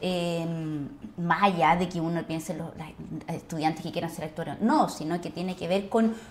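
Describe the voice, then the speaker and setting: A woman's voice: lowish voice, steady tone, normal speed, a little hiss or hum. A woman with a Chilean accent, an actress speaking casually as a podcast guest.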